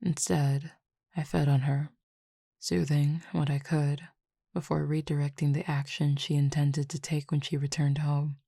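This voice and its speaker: A woman playing a calm, teasing Cupid roommate with formal, measured speech in an intimate roleplay audio drama. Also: sits low, creaky, breathy edge, no echo, clean studio sound, full character voice. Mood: solemn, reflective.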